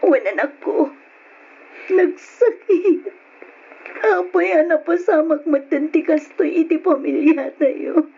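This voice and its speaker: High-voiced, anguished wife and mother. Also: slow pace, gravelly, warm tone, exaggerated voice. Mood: sorrowful, gentle. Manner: tearful, pleading, emotional. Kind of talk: old radio drama acting. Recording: muffled old radio recording with noise.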